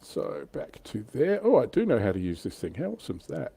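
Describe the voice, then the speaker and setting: A man's voice: mid-range voice, wildly swooping pitch, fairly quick, vocal fry, warm tone, husky, no room sound. A man hosting a casual livestream chat about tabletop wargaming in an informal, conversational way. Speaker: livestream host.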